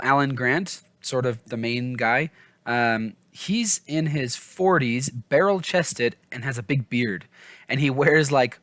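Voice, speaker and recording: A man with an average-pitched voice hosts a casual book vlog. He talks in a loose, digressive way, poking gentle fun at himself. The sound is dry, with hardly any room echo. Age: adult, father of small children. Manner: informal, self-deprecating, digressive. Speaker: book reviewer and vlog host. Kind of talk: casual, rambling book discussion.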